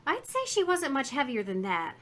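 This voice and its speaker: An earnest, fussy American witness in an old radio drama. Her sharp, animated voice rambles in a folksy way, leaning hard on the details. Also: high-pitched, normal speed, slight background noise. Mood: earnest, pondering. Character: chatty, earnest female shop witness, fussily recalling details. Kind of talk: 1950s radio drama acting.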